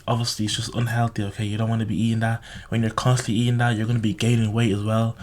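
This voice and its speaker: A man with a slightly raspy, sore-throated voice giving casual, unscripted advice to viewers, bluntly, with heavy swearing, repetition and frequent "okay?" tags. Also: lowish voice. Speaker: self-improvement video host.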